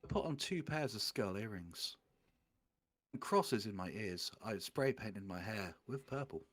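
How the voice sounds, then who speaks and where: Man reading fiction aloud, lively pitch. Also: unhurried. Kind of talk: comic read-aloud and casual banter.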